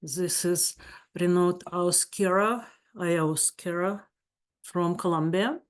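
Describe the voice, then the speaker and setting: Woman therapist, Russian accent, non-native English, unhurried, earnest, presenting a webinar. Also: on the low side, fairly even intonation. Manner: slow, searching for words, warm and earnest.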